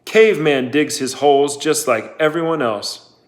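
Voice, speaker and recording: A man reading aloud, voicing a stern, sarcastic woman who runs a camp, with cold, drawn-out questions. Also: sits low, natural voice. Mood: icy.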